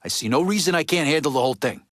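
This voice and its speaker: A man's voice: a little high, expressive, very fast, fairly bright tone, no room sound, studio quality. A man playing a tough, resentful middle-aged Italian-American mobster in a video game, with an East Coast city American accent and a blunt, streetwise way of talking. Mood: assertive. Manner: blunt, profane, streetwise wiseguy talk. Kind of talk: video game character dialogue.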